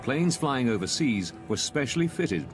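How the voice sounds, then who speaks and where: A man reading scripted documentary narration in a measured, serious manner. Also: mid-range voice, big pitch swings, music in the background.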